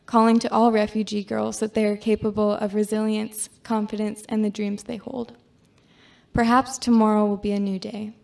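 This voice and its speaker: A woman reads her own nonfiction aloud at a student event. Her delivery is even and flat in pitch, with a gravelly edge of fry. She keeps it measured and earnest. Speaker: student reader. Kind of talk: reading narrative nonfiction aloud.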